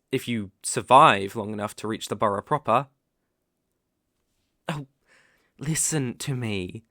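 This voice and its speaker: A man's voice: medium pitch, fairly bright tone, no room sound, clean studio sound, lightly acted. Man acting as courtly, sinister British werewolf. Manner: courtly, formal, measured, insinuating. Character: smooth-talking sinister male werewolf posing as protective gentleman. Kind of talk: ASMR roleplay audio acting.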